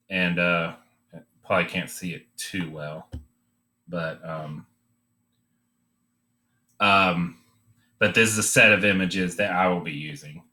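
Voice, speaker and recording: A man giving an informal, unscripted drawing demonstration, thinking aloud in a halting way as he teaches. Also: low-pitched, sharp tone.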